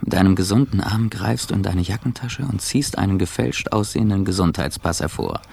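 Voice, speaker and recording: An actor playing a bitter, seething injured ex-athlete in a radio drama monologue, gravelly and hushed, speaking with deliberate intensity. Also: male voice, low voice, a little hiss or hum. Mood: sardonic, brooding. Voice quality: gravelly, husky, breathy. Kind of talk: radio drama inner monologue in second person.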